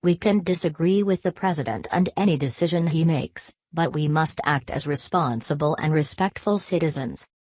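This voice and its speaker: Woman narrator, deep register, scripted news read, stiff word-for-word delivery, close dry recording. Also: moderate pace, few pauses, a little mellow, no room sound.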